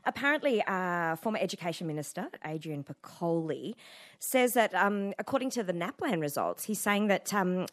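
An Australian woman chatting on a radio panel, animated and quick to laugh. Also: fairly low pitch. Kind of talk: casual panel chat.

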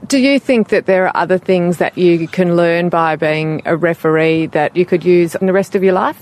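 Interviewer, prepared questions, full sentences, medium pitch. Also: normal speed.

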